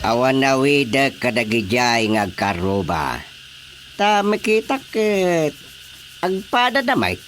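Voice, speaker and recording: A man with a Filipino accent acting a calm, measured village chairman who placates quarrelling neighbours in a radio comedy drama. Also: medium pitch, over music. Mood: concerned, deliberate.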